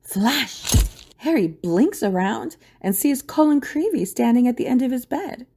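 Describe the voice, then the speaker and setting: A woman's voice: fairly high pitch, animated, unhurried, darkish tone, slight background noise. A woman narrating a scripted audio drama in a dramatic storytelling style.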